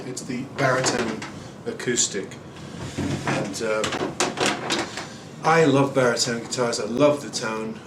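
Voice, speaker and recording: Male, 40s, casual home gear reviewer, mid-pitched, rambling delivery, distant camera audio with room echo. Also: very noisy. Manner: relaxed, rambling, many ums and uhs. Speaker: guitar reviewer. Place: small room at home, indoors. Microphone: camera mic at a distance. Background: acoustic guitar playing.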